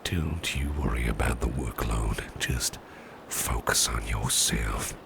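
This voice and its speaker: Casting: male actor for doting boss in ASMR roleplay, soothing and genteel, breathy bottomless-low murmur, unhurried delivery. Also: background noise, heavily acted. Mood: soothing, protective. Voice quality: breathy, half-whispered, very deep gravelly murmur. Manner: slow, soothing, repeats reassurances, genteel phrasing. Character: doting, reassuring businessman boss, soothing and flirtatious. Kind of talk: ASMR personal-attention roleplay.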